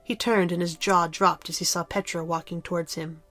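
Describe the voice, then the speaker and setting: A woman's voice: lowish voice, steady tone, normal speed, a bit bright, faint music behind. A woman reading scripted story narration as the narrator of an audio drama.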